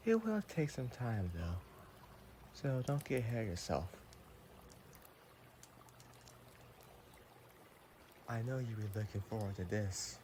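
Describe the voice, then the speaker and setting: A man's voice: lowish voice, warm tone, a little hiss or hum, lightly acted. A man acting as a possessive, romantic vampire boyfriend in an intimate audio roleplay, tender and teasing as he talks to the listener. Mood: solemn, possessive.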